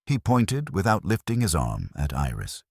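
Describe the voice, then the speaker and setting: A man narrating a detective mystery as a scripted audiobook, in a measured, literary delivery. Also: sits low, wildly swooping pitch, darkish tone, dry recording, studio quality.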